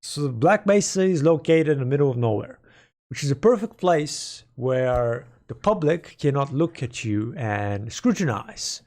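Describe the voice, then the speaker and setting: A man streaming and chatting casually with his viewers in a rambling, playful, mock-dramatic way, his voice hoarse from a sore throat. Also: mid-range voice, expressive, a little slow, darkish tone. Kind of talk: casual livestream chat with viewers.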